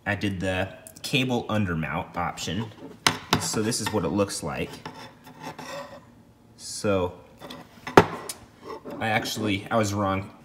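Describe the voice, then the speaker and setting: A man's voice: sits low, heavy background noise. A man giving a casual, matter-of-fact how-to narration as host of a DIY video.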